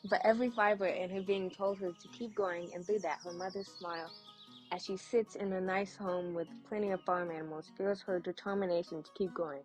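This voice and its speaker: A woman's voice: lowish voice, fairly even intonation, fairly quick, a little mellow, low-quality recording. A woman reading a scripted fairy tale as a storyteller. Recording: faint music bed under voice.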